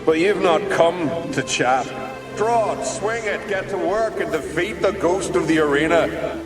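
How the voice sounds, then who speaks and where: A man's voice: a little high, expressive, over music. An actor playing the weary, jaded ghost of a doomed male warrior in a video game, speaking in a sardonic way. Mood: weary, bored. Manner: slow, world-weary, sardonic. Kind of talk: video game cutscene acting.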